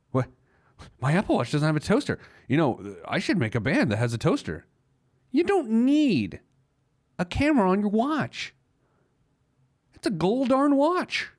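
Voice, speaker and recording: A man's voice: medium pitch, no echo, studio quality. A man hosting a podcast in a comedic monologue, with a wry, jokey, mock-exasperated manner. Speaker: podcast host.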